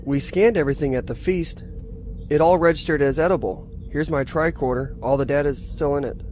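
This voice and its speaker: A man plays a formal, matter-of-fact starship officer in a science fiction audio drama. His voice sits a little high and sounds earnest. Music is clearly heard behind him. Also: a little fast, lightly acted. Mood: formal, measured.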